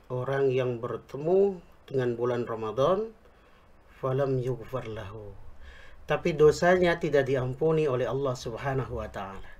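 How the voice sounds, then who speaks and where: A man explaining religious teaching on a talk show, speaking Indonesian with a Javanese accent picked up in Yogyakarta, quoting Arabic scripture in a measured way. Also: mid-range voice, darkish tone. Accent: Javanese-tinged Indonesian picked up in Yogyakarta. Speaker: Islamic preacher, guest.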